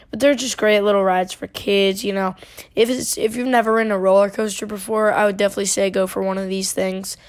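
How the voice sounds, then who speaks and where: A woman with a congested, sick voice, talking casually in a voice-over review with plenty of fillers and restarts. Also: mid-range voice. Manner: loose and chatty, many fillers and restarts. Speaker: theme park video creator.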